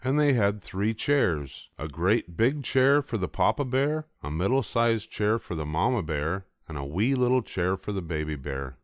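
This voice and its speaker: A man's voice: fairly low pitch, big pitch swings, a little slow, few pauses, no room sound, studio quality. An older man reading a children's storybook aloud as scripted narration. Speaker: storybook reader.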